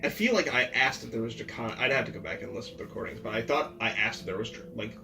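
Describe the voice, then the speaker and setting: Mid-pitched man chatting at a game table. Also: rapid-fire, creaky. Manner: thinks aloud, explains with frequent "like". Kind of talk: casual game-table chat. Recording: ambient music behind the voice. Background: ambient background music.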